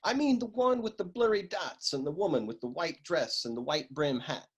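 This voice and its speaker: Male actor as cocky dim detective, husky voice, very varied intonation, deadpan, radio comedy. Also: on the high side, moderate pace, dull audio. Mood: flippant. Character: flippant, dim-witted noir male private eye. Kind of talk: humorous detective radio play.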